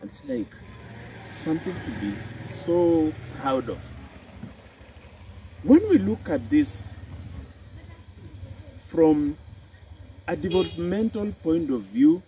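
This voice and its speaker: Kenyan man, unhurried and lilting, mellow tone, faint outdoor hiss. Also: poor audio. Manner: slow and deliberate, with a swooping emphatic pitch. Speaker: psychology and health specialist. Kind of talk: reflective talk to camera.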